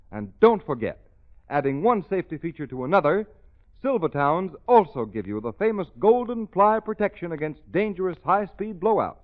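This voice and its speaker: A man announcing a commercial in an emphatic, salesmanlike 1930s radio style. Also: high voice. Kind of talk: 1930s radio advertising read. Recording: muffled, dull 1930s radio recording.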